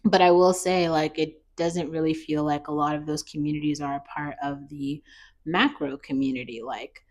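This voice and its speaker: An American woman talks in a low-pitched voice, like a vlogger sharing opinions off the cuff. Her delivery is conversational and loosely structured, wandering from one point to the next as she comments. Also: fairly even intonation, moderate pace. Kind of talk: casual opinion monologue.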